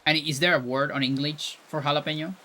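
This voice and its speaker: Chilean-accented male streamer, native Spanish speaker, crisp and bright, chatty, restarts his sentences often. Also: a little high, steady tone, fast. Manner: chatty, musing aloud, frequent restarts. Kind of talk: casual chat with viewers while gaming.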